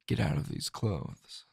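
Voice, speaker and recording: A man playing a teasing, confident anime hero lover in an intimate ASMR roleplay, with a gravelly, husky voice and lingering pauses. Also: no room sound, studio quality. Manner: slow, teasing, breathy pauses and soft laughs. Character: flustering, seductive, softly confident male anime hero lover.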